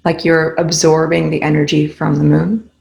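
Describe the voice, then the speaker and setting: A woman leading a guided meditation, speaking in a calm, gently instructive way with unhurried spoken cues. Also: low-pitched, vocal fry.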